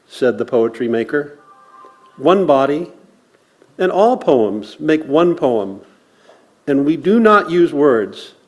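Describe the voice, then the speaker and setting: Man, medium pitch, unhurried pace, solemn recitation, measured phrasing, worship service reader. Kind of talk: land acknowledgement and poetry recitation to a congregation.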